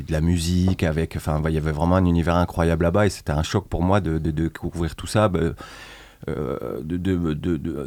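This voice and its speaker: A man's voice: deep voice, mellow, no room sound. A man from northern France, a volunteer at a migrant aid group, talking hesitantly in a casual radio interview with many fillers and restarts. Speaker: association founder.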